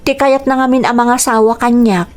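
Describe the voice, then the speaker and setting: A woman's voice: a little high, steady tone, moderate pace, natural voice. A young woman voicing an anxious young mother in a radio drama, speaking with hesitant unease. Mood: uneasy. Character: young mother, anxious about her strict father.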